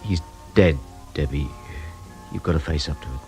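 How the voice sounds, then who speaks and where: A man's voice: very deep voice, moderate pace, darkish tone, faint music behind. A man playing a wry, self-doubting police detective, confiding in a radio crime drama. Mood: awkward, grave. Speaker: narrator and lead detective character. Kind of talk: radio crime drama, first-person narration and dialogue.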